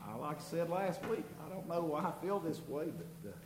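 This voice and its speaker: He speaks, earnest and folksy, stumbling over his words as he gives a church testimony. His voice is pitched a bit high, nasal and congested from illness. The recording is roomy and echoey, with steady noise underneath. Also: a little fast. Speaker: congregation member giving testimony. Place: church sanctuary. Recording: echoey room with background noise.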